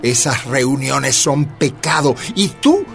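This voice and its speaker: An actor playing a stern, domineering church elder who scolds and demands answers, in a radio drama. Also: male voice, medium pitch, lively intonation, slow pace, gravelly, fairly bright tone, soft background music, exaggerated voice. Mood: accusing. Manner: scolding, authoritarian, insistent questioning. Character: stern, domineering male Jehovah's Witness congregation elder. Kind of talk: Spanish-language radio drama acting.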